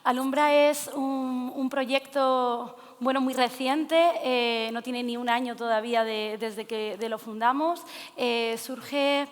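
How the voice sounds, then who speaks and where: A woman with an accent from Spain giving an informal conference talk in an echoey hall, conversational and halting, often adding tag questions. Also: sits high, fairly even intonation. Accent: Spanish from Spain. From Castilla-La Mancha, Spain. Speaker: speaker presenting a cultural project. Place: forum hall. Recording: echoey hall with long reverb.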